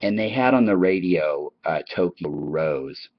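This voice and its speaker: A man's voice: low voice, animated, a little slow, no echo. A middle-aged American man chatting and reminiscing to his listeners in a casual, rambling, anecdotal way that often circles back. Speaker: online channel host. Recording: dull, lo-fi, dry recording.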